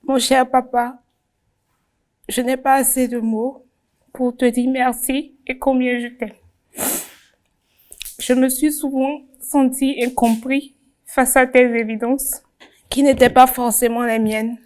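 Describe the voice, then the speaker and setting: A woman's voice: high voice, flat delivery, a little mellow. A woman pageant candidate reading a heartfelt letter aloud, solemn and tearful. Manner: slow, solemn, emotional reading.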